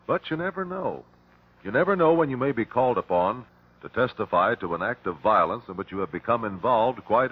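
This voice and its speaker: An actor playing a wry, musing detective in an old-time radio drama, measured and portentous. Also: male voice, fairly low pitch, slightly rough. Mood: ominous. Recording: muffled old radio recording.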